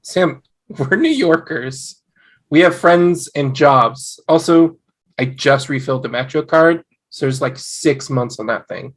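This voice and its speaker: A man's voice: fairly high pitch, animated, a little slow. A man playing a skeptical, reluctant young husband in a table read.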